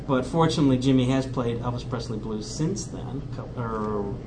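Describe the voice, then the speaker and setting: Male podcast host, casual and hesitant, ordinary middle pitch, noisy home setup. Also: moderate pace. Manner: conversational, hesitant with fillers, reads a prepared roundup. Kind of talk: casual podcast chat and show recap.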